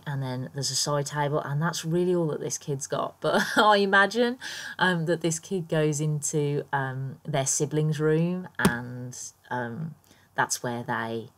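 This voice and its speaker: Woman, low voice, croaky just-woken-up tone, chatty, rambling with ums, casual game voiceover. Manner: chatty and rambling, lots of ums and little laughs. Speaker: Sims builder YouTuber.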